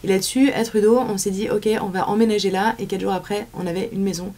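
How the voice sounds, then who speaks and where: A woman's voice: medium pitch, fairly even intonation, fast talker, a little mellow. A woman in her thirties from France with a French accent, chatting casually as an interview guest, full of fillers and restarts. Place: small studio set, indoors.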